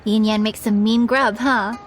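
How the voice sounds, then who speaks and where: A woman's voice: a little high, steady tone, measured pace, close to an everyday voice. An actress playing a friendly, confident townswoman in a video game, with a casual, chatty manner. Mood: breezy. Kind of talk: video game character acting. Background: game music.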